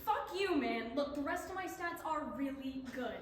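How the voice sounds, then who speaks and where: High-voiced, brash young woman acted out. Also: expressive, moderate pace. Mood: brash, playful. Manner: blunt, sarcastic. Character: brash, playful young woman, foul-mouthed student. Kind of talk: staged play reading. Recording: echoey room, faint noise.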